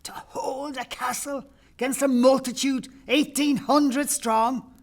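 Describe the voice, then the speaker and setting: Man playing an imperious high-voiced Gaelic chieftain. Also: steady tone, takes it slowly, gravelly, exaggerated voice. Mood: admiring. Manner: imperious, emphatic. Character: commanding, suspicious Gaelic chieftain, lord of a castle. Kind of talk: audio play, declaimed dialogue.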